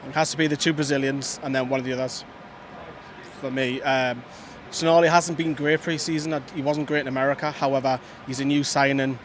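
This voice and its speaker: A man giving measured, opinionated football views outdoors. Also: medium pitch, flat delivery. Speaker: fan pundit. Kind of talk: casual football chat. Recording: outdoor street noise.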